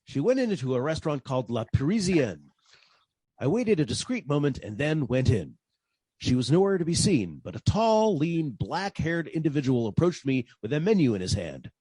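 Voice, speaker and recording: Man as private detective, husky, animated intonation, wry, unflappable, old-time radio drama acting. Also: on the high side, dry recording, low-quality recording. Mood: narrating. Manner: wry, brisk questioning.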